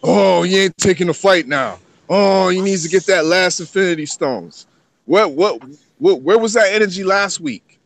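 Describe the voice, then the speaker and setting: A man's voice: high voice, moderate pace, creaky. A man calling into a casual chat, exasperated and mocking, swearing as he vents. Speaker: caller. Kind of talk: casual debate on a call.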